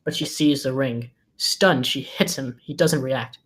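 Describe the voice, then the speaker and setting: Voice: medium pitch, measured pace, fairly bright tone. A narrator reading scene headings and stage directions at a screenplay table read.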